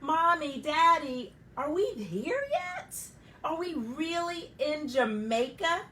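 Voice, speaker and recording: Woman doing a bright, eager little girl. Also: high voice, big pitch swings, slow, recorded from a distance, voice pushed up, exaggerated voice. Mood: excited. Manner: eager, sweet, wide-eyed. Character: cheerful polite little girl princess.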